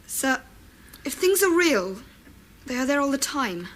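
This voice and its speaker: A woman's voice: high-pitched, big pitch swings, a little slow, a bit bright, heavily acted. A woman playing a logical, bossy English schoolchild, the eldest sibling. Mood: puzzled, arguing. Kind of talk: radio drama acting.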